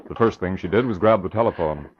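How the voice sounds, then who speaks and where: A man's voice: deep voice, fast. A man reading scripted narration for a 1940s radio crime drama. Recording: dull, faintly noisy old radio recording.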